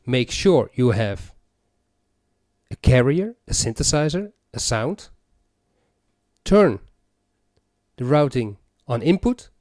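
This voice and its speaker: A man's voice: medium pitch, very animated, takes it slowly, long pauses, darkish tone, no room sound, clean studio sound. A man presenting a hands-on music gear tutorial, explaining methodically step by step.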